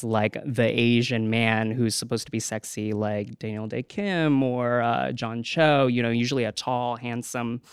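Man, very smooth resonant voice, dry close sound, animated chatty. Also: mid-range voice, no echo. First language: Cantonese. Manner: animated, with laughing asides. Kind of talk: casual chat.

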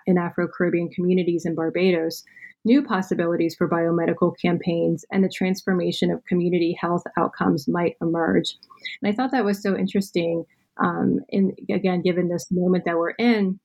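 Woman host with a breathy, slightly low voice, casual interviewer. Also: darkish tone, rough recording. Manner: conversational, hedging with "you know" and "kind of". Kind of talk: academic interview questions.

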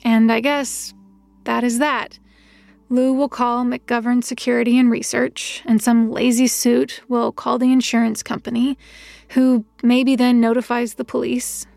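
An actress playing an earnest, reflective detective's assistant, narrating an audio drama in a measured, introspective way. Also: female voice, fairly high pitch, little pitch movement, gravelly, darkish tone, no echo, faint music behind.

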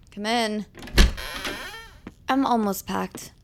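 A woman's voice: mid-range voice, very noisy. An actress playing a defiant, anxious young woman in an audio drama, hesitant and emotional. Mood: defensive, weary. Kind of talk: audio fiction drama acting.

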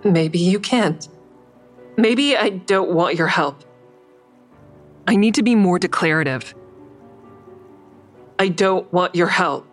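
A woman's voice: fairly low pitch, takes it slowly, long pauses, faint music behind. An actress playing an uneasy young woman narrating her own story in a hesitant, confiding way in an audio drama. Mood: uneasy, defiant. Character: uneasy young female first-person narrator in over her head.

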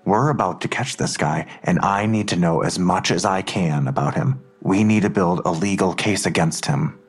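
An actor playing a worried, protective father in an audio drama, husky and earnest, reassuring but insistent. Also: deep voice, moderate pace, soft background music.

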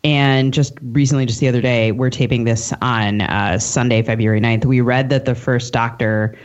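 A woman's voice: very deep voice, fairly even intonation, moderate pace, dull audio. An American woman co-hosting a podcast, introducing and questioning guests in a conversational style. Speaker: podcast co-host, interviewer.

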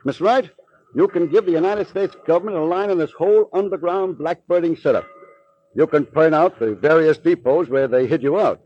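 Husky, brusque patrol commander, old radio. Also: male voice, on the high side, normal speed. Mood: hearty, approving. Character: gruff, hearty coast patrol commander, seasoned. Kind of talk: 1940s radio acting. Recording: dull, lo-fi 1940s radio recording.

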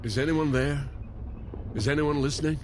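An actor playing a gruff, insistent older man held captive in an audio drama. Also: on the high side, normal speed, close to an everyday voice. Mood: urgent. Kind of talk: naturalistic audio drama acting. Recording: noisy vehicle ambience behind dialogue.

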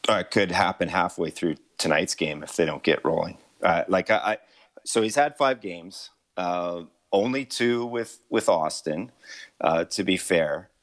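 Low-voiced man breaking down hockey. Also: a little slow. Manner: thoughtful, weighs options aloud. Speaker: hockey writer guest. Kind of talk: sports radio analysis.

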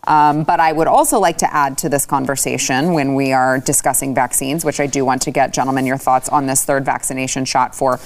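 Low-voiced sarcastic woman host. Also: steady tone, fairly quick, few pauses. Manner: sarcastic, opinionated. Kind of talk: political talk show.

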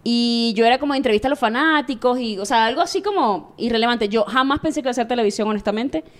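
Woman, Venezuelan accent, late 30s, quick pace, high-ish pitch, candid storyteller. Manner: chatty and candid, rapid storytelling. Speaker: sports journalist guest. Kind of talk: casual interview chat.

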